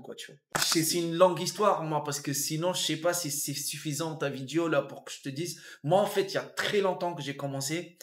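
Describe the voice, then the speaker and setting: A man in his fifties from Tunisia with a Tunisian accent, a comedian and actor telling his life story in a relaxed interview, thoughtful, with frequent restarts and fillers. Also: medium pitch. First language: Arabic. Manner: reflective, repeats and restarts words, many fillers.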